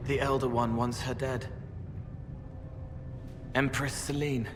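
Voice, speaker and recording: An actor playing a strange, earnest young male spirit in a fantasy game, speaking in cryptic, halting fragments. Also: medium pitch, little pitch movement, background noise, close to an everyday voice. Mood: hushed, troubled. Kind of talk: video game character acting.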